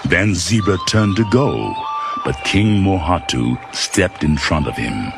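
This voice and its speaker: A man narrating a children's storybook audiobook in a dramatic, deliberate storytelling style. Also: low voice, big pitch swings, gravelly. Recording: loud music and animal sounds behind.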